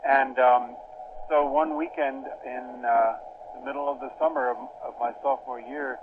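A man's voice: mid-range voice, animated, normal speed, gravelly. An older man who grew up in Europe, a seminary professor, answering interview questions thoughtfully with many hesitations. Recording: tinny phone line with faint hiss.

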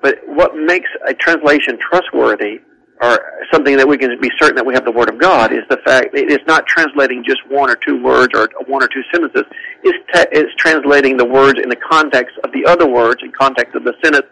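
A man's voice: medium pitch, a little fast, vocal fry, bright, forceful tone, rough recording. A man teaching about the Bible on a radio talk show, talking earnestly with frequent restarts and repeated words. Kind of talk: conversational teaching on a call-in show.

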